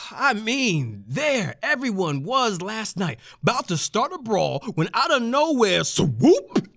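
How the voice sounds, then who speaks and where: Actress as wildly animated, sassy town commentator woman. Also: medium pitch, creaky, dry recording, clean studio sound, full character voice. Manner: theatrical, slangy, emphatic repetition. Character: sassy, excitable female town commentator addressing her listeners. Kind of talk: slangy monologue in an audio drama.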